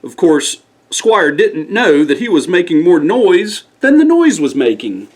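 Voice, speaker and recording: Guy reading a bedtime story, kinda high voice, really animated up and down delivery. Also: measured pace. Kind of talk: scripted bedtime story narration.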